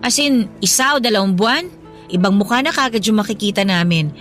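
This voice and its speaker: Caring young wife, played by an actress, music behind. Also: female voice, medium pitch, animated, a little fast, a bit bright, natural voice. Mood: gentle, reassuring. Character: caring, supportive young pregnant Filipina wife. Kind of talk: Tagalog radio drama dialogue.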